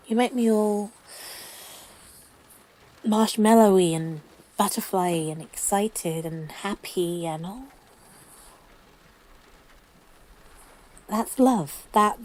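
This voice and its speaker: A woman playing a flustered, lovestruck girlfriend who is sick in bed, gushing and halting in an intimate audio roleplay. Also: mid-range voice, darkish tone, lightly acted, poor audio. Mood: flustered amazement. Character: lovestruck, grumpy-but-soft woman sick in bed, newly smitten.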